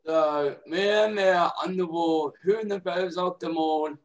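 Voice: mid-range voice, unhurried. An amateur pantomime performer delivering lines in a home video.

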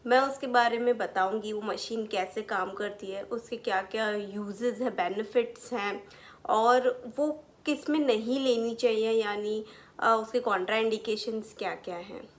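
A woman's voice: a little high, unhurried. A young adult woman presenting as a physiotherapist, explaining technical terms in plain words in an educational style. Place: small furnished room indoors.

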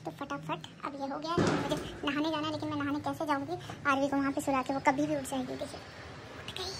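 A woman's voice: high voice, little pitch movement, fast, very noisy. A young woman from India with a Hindi accent, chatting casually to viewers as she cooks and tidies her home, explaining each step. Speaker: family vlogger. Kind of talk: casual home vlog narration. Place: home kitchen and bedrooms, indoors. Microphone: handheld phone at arm's length.

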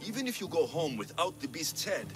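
A wry, blunt mercenary adventurer in an ancient Greek video game. His pitch is very mobile, carrying dry humour and a readiness to help. Music plays clearly behind the voice. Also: big pitch swings, fairly quick. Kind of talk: video game character acting.

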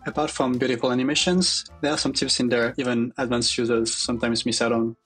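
A man's voice: mid-range voice, steady tone, fast, a bit bright. A man recommends a feature in an encouraging tone. Faint music plays behind him. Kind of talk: scripted product tip.

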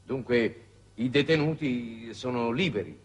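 An actor playing a grave, authoritative foreign minister with formal, measured oratory in a 1960s Italian television drama. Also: male voice, medium pitch, husky. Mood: probing. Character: grave, authoritative foreign minister chairing a space war council. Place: television studio set. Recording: dated 1960s television soundtrack.

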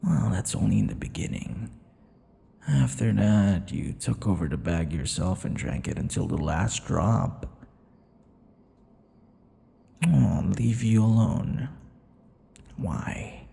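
Man acting a gravelly, cocky vampire captor. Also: on the low side, lively intonation, a little slow, dark tone, in a large hall, heavily acted. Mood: teasing, gloating. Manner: taunting, breathy, drops often into whispers. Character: possessive, cocky, menacing male yandere vampire captor. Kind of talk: ASMR boyfriend audio roleplay.

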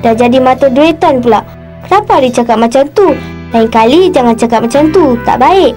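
A woman's voice: high-pitched, expressive, moderate pace, mellow, soft background music. A woman voicing a caring, bossy young big sister in a cartoon. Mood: scolding. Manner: earnest, scolding, motherly. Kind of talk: cartoon voice acting.

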